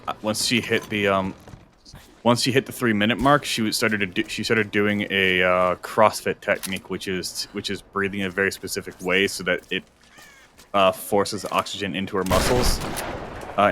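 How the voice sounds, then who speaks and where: A man's voice: fairly low pitch, fairly even intonation, normal speed, sharp tone, a little hiss or hum. A young adult man chatting casually on a game stream, explaining workouts in a coaching way, often restarting his sentences.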